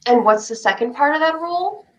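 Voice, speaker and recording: A woman's voice: high-pitched, animated, brisk, a bit bright. A woman playing an anxious, insistent young girl in a staged play reading, earnest and protective. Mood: firm, reassuring. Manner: earnest, flustered, pressing.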